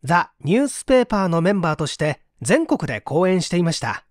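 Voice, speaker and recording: Animated man reading a book aloud. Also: sits high, no room sound, studio quality. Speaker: audiobook narrator. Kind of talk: scripted book narration.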